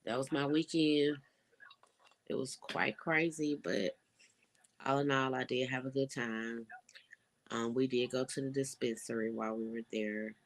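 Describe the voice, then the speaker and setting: Stuffy-nosed young adult with a gravelly voice. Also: mid-range voice, steady tone, a little slow. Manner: rambling, laid-back talk with many fillers. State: stuffy, congested nose. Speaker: vlogger. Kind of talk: casual vlog update.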